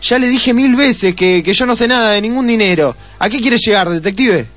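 An actor playing a nervous, defensive husband under questioning in a radio play, hesitant and stammering. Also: male voice, sits high, moderate pace, a bit bright, soft background music. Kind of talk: Spanish-language radio play acting.

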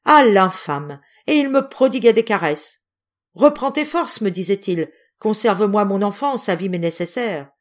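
A woman's voice: on the low side, lively intonation, moderate pace, warm tone. A woman voicing an anguished young wife and mother, desperate and theatrical, in a dramatic story reading. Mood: desperate outrage. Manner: impassioned, pleading, theatrical.

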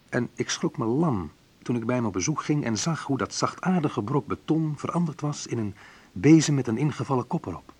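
An actor playing a reflective man in a naturalistic radio drama, talking in a conversational, hesitant, wry way with a husky voice. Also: medium pitch, darkish tone. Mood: subdued, regretful. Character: Menno, reflective man recalling a school friend. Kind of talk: naturalistic radio drama monologue and phone talk.

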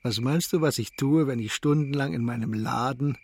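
An actor playing a calm, wise older shopkeeper and mentor in a radio play, speaking deliberately and philosophically. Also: male voice, medium pitch, breathy edge. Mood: calm, contemplative.

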